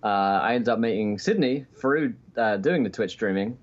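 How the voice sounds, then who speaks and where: A man's voice: lowish voice, lively intonation, moderate pace. A man with an English accent chatting casually as a podcast guest over a remote call. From England, just outside London. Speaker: podcast guest, Twitch D&D streamer. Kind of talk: casual interview chat. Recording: dull lo-fi call audio.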